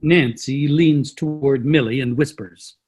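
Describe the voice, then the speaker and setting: A man serves as narrator for a play reading. His voice is medium in pitch and somewhat raspy. He reads short, scripted stage directions. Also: a little slow, darkish tone. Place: online video-call play reading.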